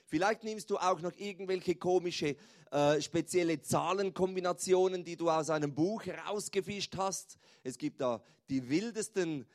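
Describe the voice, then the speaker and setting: A Swiss man gives a sermon in a high-pitched voice. His Swiss German accent colours his standard German. He keeps an informal manner and addresses listeners directly with questions. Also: moderate pace. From Switzerland. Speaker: preacher.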